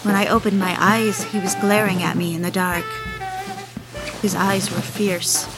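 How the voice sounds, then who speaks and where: An actress as a troubled female narrator over loud music. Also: mid-range voice, little pitch movement, a little slow, natural voice, rough recording. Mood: uneasy, hushed dread. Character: troubled woman recounting a frightening night. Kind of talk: first-person dramatic narration.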